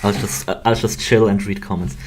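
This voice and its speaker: A young man with a low voice and an Austrian German accent talks off the cuff on a livestream. He rambles hesitantly, often breaking off and picking up again. Faint noise sits behind him at his computer desk. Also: steady tone, moderate pace. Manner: hesitant, self-interrupting, rambling. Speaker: game developer hosting a livestream. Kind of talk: casual rambling livestream chat.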